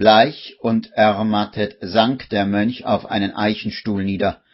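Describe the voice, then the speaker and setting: A man narrating a radio play in a measured storytelling manner, on an old 1950s recording. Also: fairly low pitch, level tone, highs cut off.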